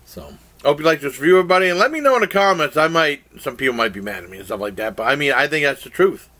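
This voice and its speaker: A man's voice: fairly high pitch, expressive, rapid-fire, bright, forceful tone. An older man casually reviewing a game show to his webcam in a small room, rambling with many fillers and restarts. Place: small room indoors, plain wall. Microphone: webcam at desk.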